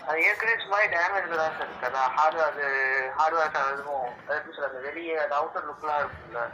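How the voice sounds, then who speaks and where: High-voiced young man on a muffled call. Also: fast. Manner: deferential, answering politely. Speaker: prank call recipient. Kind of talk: casual phone conversation. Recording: muffled phone call, noisy.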